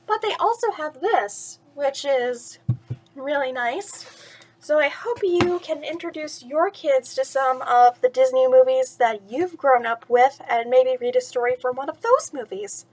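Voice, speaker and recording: A woman with a high-pitched voice talks as the host of a children's storytime. She is casual and chatty, and reads with expression, as for a bedtime story. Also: a little slow, darkish tone, rough recording.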